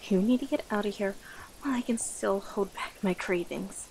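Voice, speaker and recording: A woman voicing a predatory, teasing vampire woman in an ASMR roleplay, breathy and often whispering, with drawn-out, menacing delivery. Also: medium pitch, a little mellow, a little hiss or hum, close to an everyday voice, rough recording. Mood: hungry, warning.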